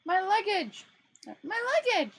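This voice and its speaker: A woman's voice: very high voice, sing-song, slow, pitched above the performer's own voice, heavily acted. A woman reading a storybook and voicing a naive, well-meaning male tourist who is earnest, chatty and plaintive. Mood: panicked.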